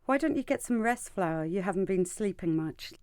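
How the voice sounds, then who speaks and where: An actress playing a gentle, concerned older grandmother in a radio drama, speaking soothingly. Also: medium pitch, animated, brisk, clean tone, no echo, close to an everyday voice. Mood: caring. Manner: soothing, caring.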